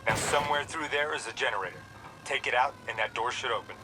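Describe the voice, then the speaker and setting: A man's voice: medium pitch, fast, very noisy, natural voice. A man playing a brash, foul-mouthed soldier mentor in a video game, talking in a slangy, brotherly, swaggering way. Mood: confident, commanding. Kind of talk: video game cutscene acting.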